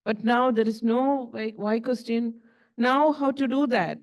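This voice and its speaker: A woman's voice: fairly high pitch, measured pace. A woman on a conference panel speaking earnestly about her university's work, with rhetorical questions and restarts. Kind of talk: panel discussion answer. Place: conference hall.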